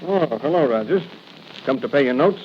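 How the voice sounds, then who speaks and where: An actor playing a cold, sneering loan shark in a 1940s radio drama, brusque, slangy and threatening. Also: male voice, mid-range voice, animated, moderate pace, lightly acted. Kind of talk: 1940s radio gangster acting. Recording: muffled, faintly noisy vintage radio broadcast.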